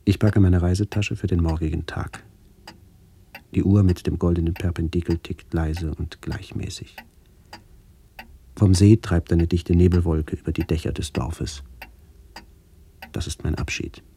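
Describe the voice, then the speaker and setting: An actor playing a melancholy man recalling his family and lost village in a measured, reflective radio play narration. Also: sits low, fairly even intonation, gravelly, dark tone, a little hiss or hum. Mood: elegiac, reflective.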